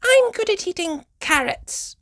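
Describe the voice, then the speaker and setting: A woman voicing an excited, boastful little animal child in a children's storybook, exclaiming and drawing out words. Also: extremely high pitch, measured pace, no room sound, studio quality, voice pushed up, full character voice. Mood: proud. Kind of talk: children's storybook character voices.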